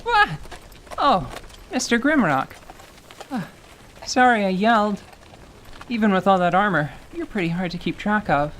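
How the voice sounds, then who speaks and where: A man plays a deferential young driver in a fantasy audio drama. He speaks hesitantly and politely, with a nervous, lifted pitch. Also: sing-song, measured pace, long pauses, fairly bright tone, slight background noise, exaggerated voice. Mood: sheepish.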